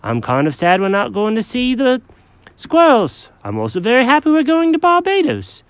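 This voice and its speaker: A storyteller voicing a fussy, naive male cat who talks in a high squeak, with an excitable, bewildered manner. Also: normal speed, no echo.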